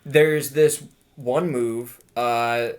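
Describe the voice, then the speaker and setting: A man co-hosting a podcast, chatting casually and excitedly, with frequent laughs and lots of "like". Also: mid-range voice, very slow. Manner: excited, laughs often, says "like" a lot. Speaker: podcast co-host. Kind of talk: casual chat.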